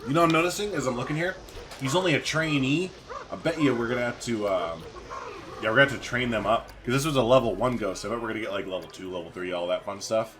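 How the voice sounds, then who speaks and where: A man's voice: mid-range voice, quick pace. A man doing casual let's-play commentary, chatty and informal, thinking aloud as he plays. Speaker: gaming video host. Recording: faint background noise.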